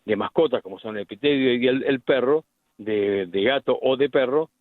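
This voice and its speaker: Argentine doctor on a tinny phone line. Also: male voice, medium pitch, no room sound. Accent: Argentine Spanish. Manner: deliberate, explanatory, frequent restarts. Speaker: medical specialist guest. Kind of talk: explanatory radio interview by phone.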